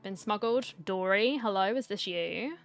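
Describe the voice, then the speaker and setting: Female streamer, mid-pitched, casual and wry, talking over faint game music. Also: unhurried. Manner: chatty, wry, joking. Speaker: gamer, streamer. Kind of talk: casual playthrough commentary.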